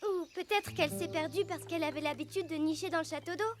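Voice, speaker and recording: A woman voicing a confident, impatient young girl in a French children's cartoon, with a childlike, brisk, self-assured delivery. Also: very high voice. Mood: matter-of-fact. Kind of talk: French animated children's series dialogue. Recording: loud music behind. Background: loud cartoon music.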